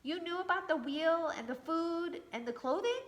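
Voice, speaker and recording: A woman's voice: very high voice, moderate pace, pitched above the performer's own voice. A woman voicing a curious, surprised female wooden villager in a storybook.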